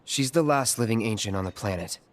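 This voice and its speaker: An actor playing an earnest, determined young man in a video game drama, speaking gravely and insistently. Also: fairly low pitch, animated, brisk, lightly acted. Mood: urgent pleading. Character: earnest, determined man pleading urgently for a captive friend.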